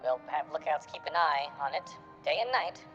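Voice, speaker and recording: A man voicing a composed, shrewd resistance member from a video game, speaking in a measured, formal way. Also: fairly high pitch, a bit bright, pitched above the performer's own voice, lightly acted. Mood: calm, strategic. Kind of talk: acted reading of video game dialogue. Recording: dull lo-fi sound with game music.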